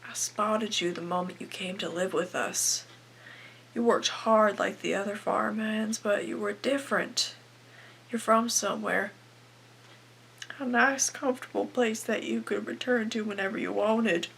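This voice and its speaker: Teenage girl acting an anguished, creaky young farm wife. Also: medium pitch, fairly even intonation, unhurried, full character voice. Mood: quiet confessing. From Canada. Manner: confessional, anguished, swinging from hushed to bursting. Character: desperate, bitter, self-loathing young farm wife confessing to her husband. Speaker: aspiring actress performing a film monologue. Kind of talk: dramatic monologue acting.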